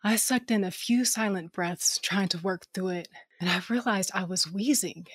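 A woman's voice: mid-range voice, moderate pace, breathy edge, no echo. A woman narrating and acting a space adventure audio drama in the first person, with a dry, wry and determined manner. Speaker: narrator and lead character.

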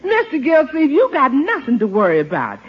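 A woman's voice: high voice, wildly swooping pitch, a little fast. A woman playing a loyal, sassy household servant with an American accent in 1950s radio comedy, folksy and emphatic. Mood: reassuring, sassy. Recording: muffled old radio recording.

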